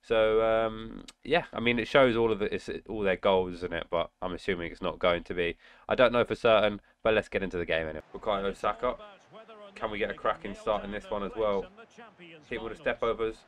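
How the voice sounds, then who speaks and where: Casting: young man, nasal from a cold, lowish voice, gaming commentary with sudden excited shouts. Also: a little fast. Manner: excitable outbursts during play, muttering between. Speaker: gaming channel host. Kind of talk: casual gameplay commentary. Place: indoor room at home.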